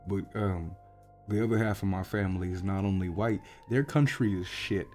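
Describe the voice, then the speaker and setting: Deep voiced american guy just rambling to his viewers, halting, swears a bit, self-deprecating. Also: darkish tone, soft background music. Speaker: voice actor, channel creator. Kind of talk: casual unscripted outro chat.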